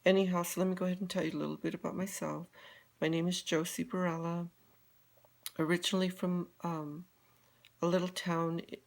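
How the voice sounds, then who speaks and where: Low, gravelly older woman chatting. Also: little pitch movement, fairly quick. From Colorado, USA. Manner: rambling, many fillers and restarts. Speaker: beauty guide vlogger. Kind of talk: casual live video chat.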